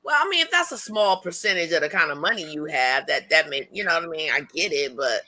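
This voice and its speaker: Lively, bright woman with a Jersey accent. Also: low-pitched, fast talker. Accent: New Jersey. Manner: blunt, joking, profane. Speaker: podcast co-host. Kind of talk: casual chat.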